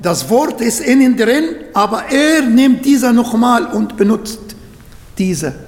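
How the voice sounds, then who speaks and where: Arabic-native male preacher, high voice, slow earnest delivery, echoey hall recording. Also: animated. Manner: earnest, searching for German words. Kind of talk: sermon.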